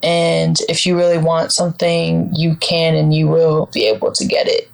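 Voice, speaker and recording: A young woman in her twenties talks things over as a podcast guest, her voice sitting on the low side with plenty of gravelly fry. She rambles in an upbeat, reflective way, full of fillers. The tone stays casual and open. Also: monotone, normal speed, rough recording. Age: 20s. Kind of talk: casual interview chat.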